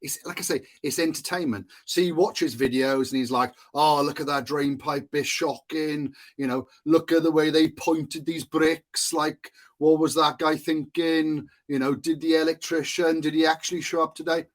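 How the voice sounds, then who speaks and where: A man's voice: fairly high pitch, steady tone, moderate pace, hardly any pauses. A Welsh man hosting a solo podcast on marketing, talking in a chatty, self-amused way with frequent fillers and little laughs. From Wales. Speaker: podcast host, marketing consultant.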